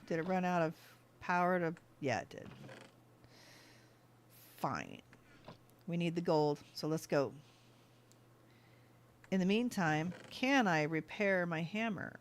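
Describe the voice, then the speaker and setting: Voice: medium pitch, slow pace, pauses often, smooth. Chatty let's-play host thinking aloud. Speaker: gaming channel host. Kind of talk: casual let's-play commentary.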